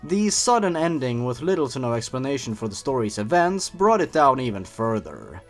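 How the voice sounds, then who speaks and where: A man's voice: medium pitch, very animated, normal speed, faint music behind. A man hosting a review show, giving scripted, opinionated commentary with sarcastic asides. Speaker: host of a horror story review channel. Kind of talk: scripted review commentary with story read aloud.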